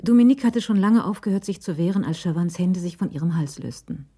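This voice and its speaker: A woman narrating a scripted German radio crime drama in a measured, literary delivery. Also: lowish voice, lively intonation, dark tone, husky.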